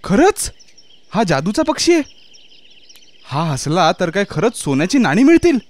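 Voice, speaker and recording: Man acting animated, high-voiced eager young villager. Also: moderate pace. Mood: amazed. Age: young adult. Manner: earnest, excitable, polite. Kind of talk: children's fairy-tale cartoon acting.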